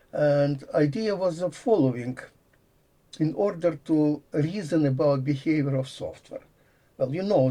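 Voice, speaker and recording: A man's voice: on the high side, mellow, slightly rough. An older man from Latvia, a professor with a Latvian accent, explaining ideas in a thinking-aloud way and often dropping articles. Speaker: guest, computer science professor. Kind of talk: explanatory conversation.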